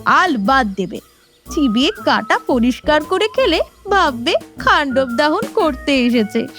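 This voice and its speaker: A woman's voice: high-pitched, very animated, a little slow, fairly bright tone, soft background music, heavily acted. A woman playing a worldly-wise young sister-in-law with a Bengali accent, mocking and instructing in a comedy audio drama. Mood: teasing, instructive.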